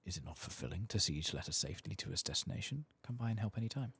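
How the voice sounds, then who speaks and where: A man reading as a friendly, gravelly fantasy mail clerk. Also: lowish voice, sing-song, racing, fairly bright tone, no echo, exaggerated voice. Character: chatty, cheerful mail-room worker in a fantasy game. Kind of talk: reading game quest dialogue aloud, soft-spoken. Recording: faint background noise.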